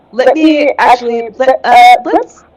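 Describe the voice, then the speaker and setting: Woman hosting a music livestream, chatty n informal, really slow pace, lively up and down voice. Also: on the high side. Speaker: livestream host, singer-songwriter and producer. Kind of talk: casual livestream chat.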